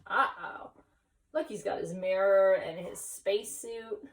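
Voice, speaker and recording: A woman reading a picture book aloud expressively, pausing to explain and ask children questions. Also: mid-range voice, far from the mic. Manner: animated, pauses to explain and question. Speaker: teacher reading at school story time. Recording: voice some way from the mic.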